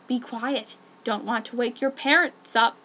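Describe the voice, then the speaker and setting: A woman's voice: a little high, fairly even intonation, unhurried, bright, forceful tone. A woman voicing a cruel, teasing alien male with fangs in a dramatic story reading, leering and menacing. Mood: taunting.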